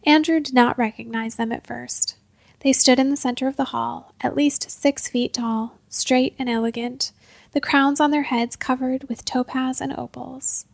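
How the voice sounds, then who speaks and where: High creaky-voiced woman narrating a story. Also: fairly even intonation, moderate pace, no room sound.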